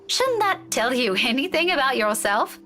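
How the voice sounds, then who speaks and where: An actress playing a blunt, needling schoolteacher with grudging kindness in a visual novel. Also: female voice, high-pitched, very animated, moderate pace, bright, forceful tone, faint music behind, full character voice. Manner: frank, teasing, lecturing. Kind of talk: dramatic visual novel voice acting.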